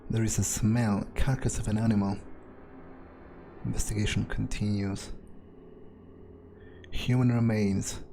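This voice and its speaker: A man narrating a video game playthrough in character, thinking aloud in a musing, halting way. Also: on the low side, level tone, creaky, dark tone, a little hiss or hum.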